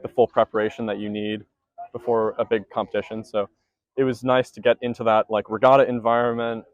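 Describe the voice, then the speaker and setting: A teenage boy talks through his race in a low, fairly flat voice, circling back with fillers and restarts as he goes. His voice itself is smooth and clear. The recording is close and dry but a little dull in tone. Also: no echo. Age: teens. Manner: casual, many fillers and restarts. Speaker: junior rower. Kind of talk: post-race interview.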